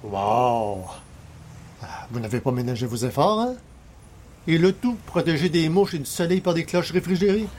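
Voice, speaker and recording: A man's voice: on the high side, expressive, normal speed, a little mellow, a little hiss or hum, lightly acted. Wry, hesitant Quebec everyman, played by a man. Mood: curious, polite. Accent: Quebec French. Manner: hesitant, colloquial, sardonic asides. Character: everyman newspaper columnist, polite but wryly grumbling guest. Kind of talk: old-time radio comedy acting.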